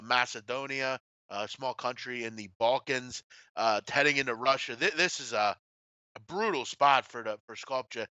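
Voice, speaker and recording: A man's voice: medium pitch, sharp tone, smooth, no echo, dull audio. A man hosting a casual sports betting discussion, blunt and opinionated in a colloquial way. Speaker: host of a sports betting show.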